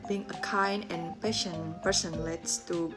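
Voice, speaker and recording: A woman's voice: fairly low pitch, fairly even intonation, measured pace, over loud music. A young woman giving a scripted storytelling presentation as a student.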